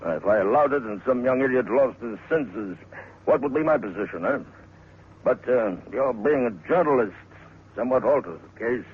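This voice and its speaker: An actor playing a cautious, businesslike museum manager in an old radio drama, measured and formal with hesitant asides. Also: male voice, mid-range voice, lively intonation, husky. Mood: firm caution. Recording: tinny, noisy old radio recording.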